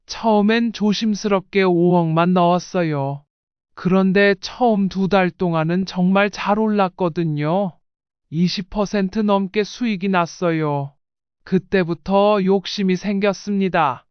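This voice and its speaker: A woman's voice: medium pitch, measured pace, clean tone, no echo, lo-fi sound. A woman reading a scripted first-person life story in audiobook style. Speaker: narrator.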